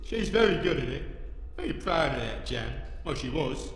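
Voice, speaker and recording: A gravelly British robot butler acted by a man, grumbling like an ordinary working bloke. He is chatty and matter-of-fact, pitched a little high, in comic audio drama style. The sound is heavily reverberant. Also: sing-song, normal speed. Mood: sheepish, matter-of-fact.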